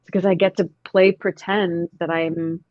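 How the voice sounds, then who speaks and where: A woman's voice: on the low side, little pitch movement, normal speed, dry recording, phone-quality sound. A woman in her thirties, an actor talking in a casual interview, reflective and emphatic. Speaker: actor, podcast guest.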